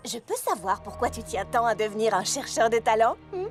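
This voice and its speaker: A woman's voice: on the high side, sing-song, fairly quick, music in the background. A woman voicing a kind, orderly young pony princess who teaches magic, composed and articulate, in a French cartoon dub. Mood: gently curious.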